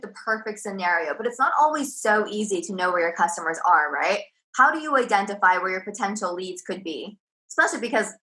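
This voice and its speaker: Woman, interview host, upbeat, bright voice, mid pitch. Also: poor audio. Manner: upbeat, quick follow-up questions. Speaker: podcast host.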